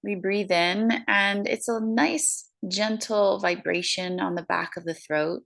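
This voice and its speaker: A woman guiding a breathing meditation, counting slowly in a soft, soothing way. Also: medium pitch, gravelly. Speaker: meditation guide.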